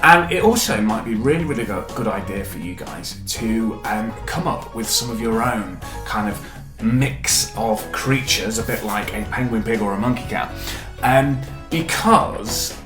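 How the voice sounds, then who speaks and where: A man's voice: on the low side, unhurried. A man, an author and teacher, chatting informally to children and repeating words for emphasis. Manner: chatty, emphatic repetition for young listeners. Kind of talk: informal video message addressed to children. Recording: roomy echo, music behind.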